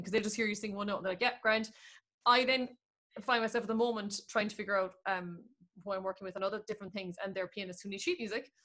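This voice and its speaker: A young Irish woman, a singer, talking in long animated runs on a video call from home. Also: a little high. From Ireland. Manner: animated, talks in long flowing runs with quoted asides. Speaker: guest singer. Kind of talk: casual interview chat. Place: room at home. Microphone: video call with earphone mic.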